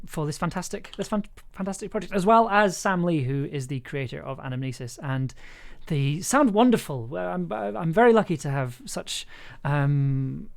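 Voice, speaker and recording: An enthusiastic audio producer chatting casually and effusively on a livestream while editing. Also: mid-range voice. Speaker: audio drama producer and streamer.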